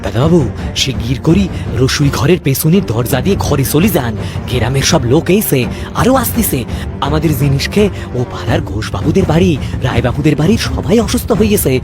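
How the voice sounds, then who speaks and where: A man's voice: a little high, sing-song, a little fast, pauses often, over music. A man voicing an anxious, loyal servant with a rural Bengali dialect in a cartoon. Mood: panicked. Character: anxious loyal male servant, rustic village speech. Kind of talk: cartoon voice acting.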